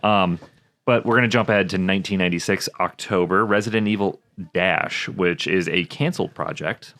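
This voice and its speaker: A man's voice: low-pitched, a little fast, a bit bright. A man co-hosting a podcast, switching between casual, joking banter and reading from notes. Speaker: podcast co-host.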